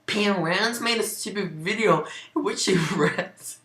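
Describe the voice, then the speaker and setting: A woman's voice: mid-range voice, unhurried, a bit bright. A woman giving a mocking amateur dramatic reading of fan fiction, breaking off into sarcastic asides. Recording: roomy, echoing home recording.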